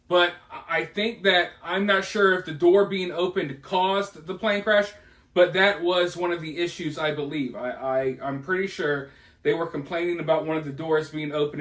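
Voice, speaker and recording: High-voiced man commentator in an echoey room. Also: fairly bright tone. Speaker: host of a news commentary vlog. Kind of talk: casual opinionated commentary on breaking news. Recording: roomy, echoey voice recording.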